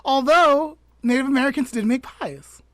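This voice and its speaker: A woman's voice: high-pitched, normal speed, a bit bright, dry recording. A young woman in casual podcast chat, talking with many fillers and restarts. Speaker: podcast co-host.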